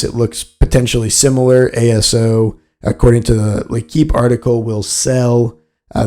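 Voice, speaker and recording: A man's voice: medium pitch, warm tone. A man hosting a solo sports business podcast, explaining things in a matter-of-fact way. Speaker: podcast host.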